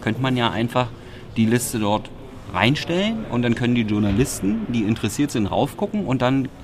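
A man's voice: mid-range voice, expressive, moderate pace, noisy background. A man from Berlin co-hosting a podcast, recapping press conferences in a wry, sarcastic, rambling way. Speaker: podcast co-host.

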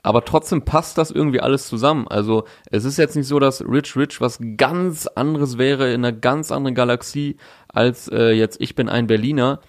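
A man's voice: medium pitch, moderate pace, no room sound, studio quality. A young man in casual chat, talking through a music release with fillers and restarts. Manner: thoughtful, many fillers and restarts. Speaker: podcast co-host discussing music.

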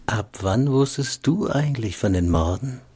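An actor playing a dry, probing male security insider in a political radio drama, speaking in a measured, deliberate way. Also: mid-range voice, expressive, a little mellow, slightly rough. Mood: accusing.

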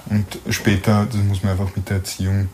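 Low-pitched Austrian man, casual podcast talk. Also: level tone, brisk, a little mellow, a little hiss or hum. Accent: Austrian German. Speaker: podcast co-host. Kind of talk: casual unscripted chat about films and series.

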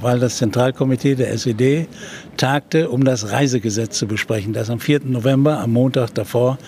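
An older man, a journalist and TV presenter, recounting a historical event in an interview with many pauses and filler sounds. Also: medium pitch, a little mellow, slight background noise. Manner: hesitant storytelling with many fillers.

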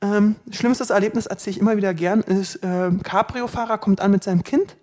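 A man in his twenties from Berlin with a light Berlin accent, telling stories from his life as an interview guest, quick and joking, laughing often. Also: sits high, steady tone, darkish tone. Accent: light Berlin German. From Berlin, Germany. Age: 20s. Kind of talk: casual storytelling interview.

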